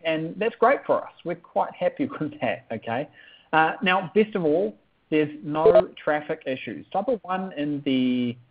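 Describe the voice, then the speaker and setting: A man in his thirties with a New Zealand accent, hosting an upbeat sales webinar and often tagging lines with "okay?". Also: a little high. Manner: upbeat, keeps asking for chat replies, tags lines with "okay?". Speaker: webinar presenter. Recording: tinny phone-like audio.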